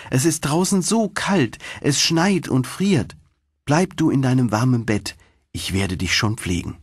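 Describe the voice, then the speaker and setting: A man's voice: medium pitch, expressive, a little slow, slightly rough, no echo, studio quality, pitched above the performer's own voice. A man reading a fairy tale voices a tiny, kind-hearted girl with an earnest, tender manner. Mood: concerned, caring. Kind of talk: storybook narration.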